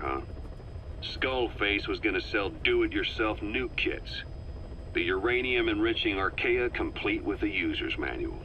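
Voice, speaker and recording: An actor playing a gruff, shrewd military veteran in a video game, talking in a dry, clipped, sardonic way on a muffled, hissy tape. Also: male voice, deep voice, sharp tone, full character voice. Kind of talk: dramatic video game dialogue. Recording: muffled cassette tape with hiss.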